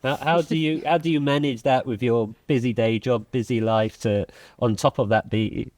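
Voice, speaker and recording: A man's voice: mid-range voice, fairly even intonation, moderate pace, a little mellow. Guy hosting a work podcast, rambling questions, no echo. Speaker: podcast host. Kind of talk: interviewing panel guests.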